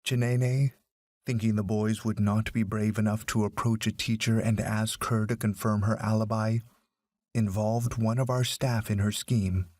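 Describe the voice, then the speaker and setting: A man's voice: on the low side, little pitch movement, moderate pace, darkish tone, no echo, natural voice. A man voicing a stern male teacher who gives a formal, matter-of-fact account in a story. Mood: stern explaining.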